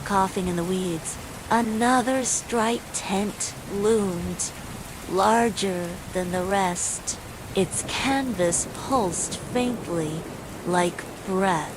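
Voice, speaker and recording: A woman's voice: medium pitch, drawn-out words. A woman reading a scripted horror bedtime story, with falling rain behind her. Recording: steady rain noise throughout.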